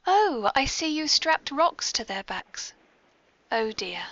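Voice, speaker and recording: Woman playing a high-voiced, prim British lady mastermind. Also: sing-song, slow, vocal fry, a bit bright, no echo, exaggerated voice. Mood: arch, amused. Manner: prim, formal, arch, polite. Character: genteel, mischievous lady mastermind with secret lair. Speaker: podcast host. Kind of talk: scripted comic audio drama. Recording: dull lo-fi podcast recording.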